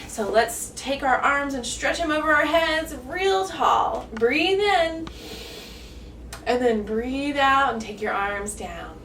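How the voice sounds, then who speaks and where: A woman with a high voice teaches yoga to young children in an encouraging singsong. She takes it very slowly, spelling out each step in simple words. She sounds some way from the mic in an echoey room. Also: animated, a bit bright, a little hiss or hum. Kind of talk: instructing young children through poses, step by step. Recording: echoey room, distant mic, music behind.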